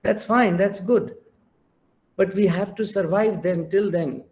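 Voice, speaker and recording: A man's voice: high voice, a little slow, a little mellow. An older man with an Indian English accent, a native Hindi speaker, giving an emphatic keynote lecture over an online call and mixing Hindi into his English. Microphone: online video call.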